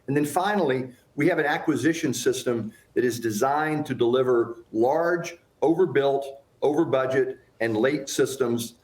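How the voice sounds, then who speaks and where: A man with an American accent giving measured, structured answers as an expert guest in a news interview. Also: medium pitch. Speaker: expert guest, former aviation official.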